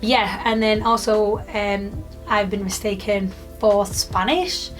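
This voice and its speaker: A woman's voice: medium pitch, steady tone, slow pace, soft background music, rough recording. A British woman in her twenties from the UK, chatting casually to camera in a rambling vlog with lots of fillers. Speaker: YouTuber.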